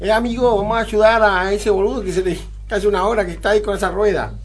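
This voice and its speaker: A man's voice: high-pitched, fairly even intonation, fast talker, husky. A man playing a poor, streetwise young windshield washer with a slangy Buenos Aires drawl in an amateur radio play. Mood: good-natured. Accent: Argentine, Buenos Aires. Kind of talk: amateur radio drama acting.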